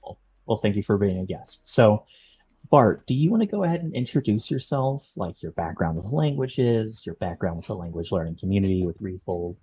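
A man's voice: on the low side, very animated, fairly quick, darkish tone, breathy edge. A thirty-year-old man hosting a podcast, interviewing in a friendly, joking, upbeat way. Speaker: podcast host.

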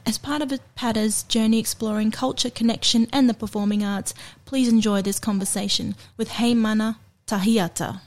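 A woman hosting a show, reading a scripted introduction in a measured, formal way. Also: on the high side, fairly even intonation, darkish tone. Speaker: podcast host.

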